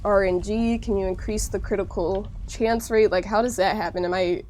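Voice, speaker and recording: A woman's voice: medium pitch, steady tone, moderate pace, darkish tone, slight background noise. A woman streaming a game, chatting casually and directly to her viewers, sounding congested from a cold. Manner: chatty, talks straight to viewers with lots of "like". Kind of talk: casual live-stream gameplay chat.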